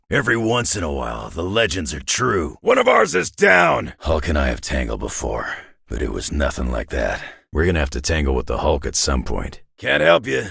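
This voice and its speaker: A surly, middle-aged video game superhero with a North American accent. The voice sits extremely low and is thick with gravel. The delivery is sardonic and clipped, swinging widely in pitch, full of folksy tough talk. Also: male voice, a bit bright, dry recording, clean studio sound, exaggerated voice. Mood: forceful. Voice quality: gravelly, raspy. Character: gruff, surly middle-aged male superhero brawler, dry-witted. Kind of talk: video game character voice lines.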